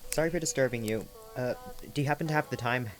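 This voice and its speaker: An actor playing a precise, brainy fugitive who speaks formally and a little awkwardly in an audio drama. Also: male voice, mid-range voice, quick pace, soft background music, lightly acted. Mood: polite. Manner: formal, explanatory, slightly awkward. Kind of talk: naturalistic audio drama acting. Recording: noisy ambience behind.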